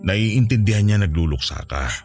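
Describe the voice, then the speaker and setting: A man's voice: on the low side, expressive, normal speed, darkish tone, soft background music. A man playing a patient husband and grieving father, earnest and reassuring, in a radio-style drama. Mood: consoling.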